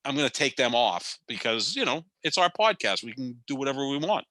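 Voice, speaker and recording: A man's voice: medium pitch, sing-song, brisk, bright, forceful tone, no room sound. A man in his fifties co-hosting a sports podcast, giving opinionated, rambling takes in casual debate and often restarting his sentences. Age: 50s. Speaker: podcast co-host.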